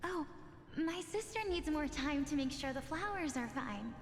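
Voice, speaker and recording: An actress playing a gentle little girl demigod in a fantasy game, polite, earnest and soothing. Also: high voice, expressive, moderate pace, a little hiss or hum. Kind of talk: dramatic fantasy game acting. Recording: echoing reverb, music behind.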